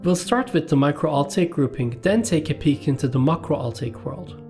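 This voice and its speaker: A man from Turkey, a native Turkish speaker, giving a scripted educational narration in a measured, list-reading way. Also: fairly high pitch, animated, darkish tone, soft background music. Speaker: language video host.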